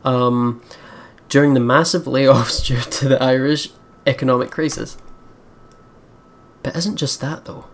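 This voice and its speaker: A woman hosting a let's play, reading visual novel narration and reacting in casual, expressive, sweary commentary. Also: rumbling low, unhurried, a little hiss or hum.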